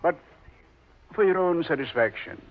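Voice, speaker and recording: A man playing a suave, mocking Devil who ferries souls, speaking with slow, sardonic deliberation in an old radio horror drama. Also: fairly high pitch, animated, close to an everyday voice. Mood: suave, taunting. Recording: muffled old-time radio recording.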